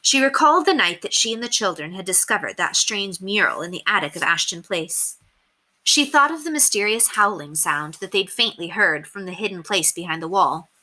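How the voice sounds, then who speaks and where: A woman reading a children's book aloud as an expressive, emphatic storyteller. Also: medium pitch, normal speed. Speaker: read-aloud reader.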